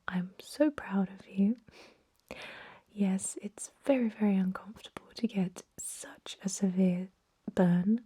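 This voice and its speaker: A woman performs an ASMR roleplay in a breathy, mellow whisper, very close and dry on the mic. She plays a gentle vampire emergency doctor, reassuring her patient in soft, hesitant bedside patter. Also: mid-range voice, no room sound. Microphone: close microphone.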